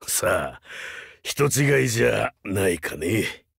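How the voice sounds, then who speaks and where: World-weary, wry veteran vampire hunter, expressive. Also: male voice, lowish voice, takes it slowly, no room sound, studio quality, exaggerated voice. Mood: wry, evasive. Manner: wry, laconic, gruff but fatherly. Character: rugged, world-weary older male vampire-hunter turned schoolteacher. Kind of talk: Japanese mobile game character voice lines.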